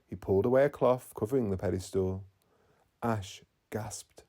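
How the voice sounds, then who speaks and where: A man narrating a children's bedtime story in an expressive storytelling manner. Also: lowish voice, moderate pace. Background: faint music.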